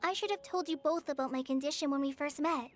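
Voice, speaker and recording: A woman's voice: high-pitched, quick pace, faint music behind, lightly acted. A woman voicing an earnest, shy teenage girl, a trainee forest ranger in a video game, speaking sincerely and a little hesitantly. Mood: hesitant, apologetic.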